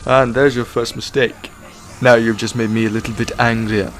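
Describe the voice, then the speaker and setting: A man's voice: medium pitch, level tone, normal speed, soft background music, natural voice. A man playing a defiant, sardonic time-travelling hero in an audio drama, taunting his captors.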